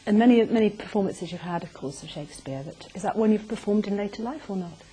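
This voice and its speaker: A woman's voice: mid-range voice, quick pace, mellow, low-quality recording. A woman interviewing on stage in an encouraging, chatty, joking way. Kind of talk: live on-stage conversation. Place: arts centre stage before an audience.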